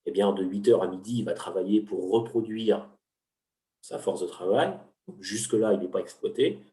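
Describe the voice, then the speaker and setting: A man's voice: fairly low pitch, normal speed, warm tone. A man, an economics teacher, explaining ideas patiently in an interview, with frequent hesitations and restarts. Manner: didactic, many hesitations and restarts. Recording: roomy, from a distance.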